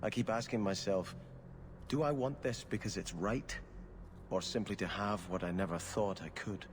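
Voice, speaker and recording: An actor playing a devout, conflicted young exiled prince in a video game, with a formal, confessional manner. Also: male voice, on the low side, normal speed, slight background noise, close to an everyday voice. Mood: troubled. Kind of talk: video game cutscene acting.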